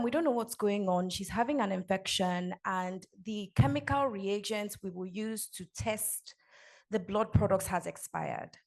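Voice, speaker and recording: A woman's voice: medium pitch, vocal fry. A woman from Nigeria with an American accent learned over her Nigerian one, giving an earnest personal talk to a live audience. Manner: earnest, direct, addresses the audience warmly and at length. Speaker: conference speaker and podcast co-host.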